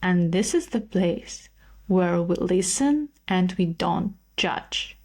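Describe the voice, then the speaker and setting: Slow, earnest Ukrainian-accented woman host. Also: fairly low pitch, animated, darkish tone. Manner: earnest, slightly halting non-native phrasing. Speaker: podcast host. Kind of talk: solo podcast monologue.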